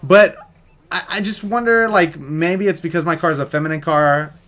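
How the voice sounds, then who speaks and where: A man's voice: fairly high pitch, normal speed, fairly bright tone. A young American man telling a story in a casual, rambling, jokey vlog style. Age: young adult.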